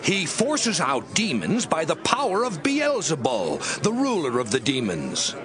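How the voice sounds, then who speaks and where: Hostile male accuser, scripture drama, highish voice, declamatory, busy crowd behind. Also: wildly swooping pitch, unhurried. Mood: accusing.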